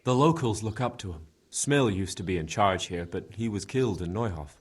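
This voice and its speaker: An actor playing a plainspoken, forthcoming medieval villager in a video game, with a husky voice. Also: male voice, lowish voice, expressive, a little fast. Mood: informative.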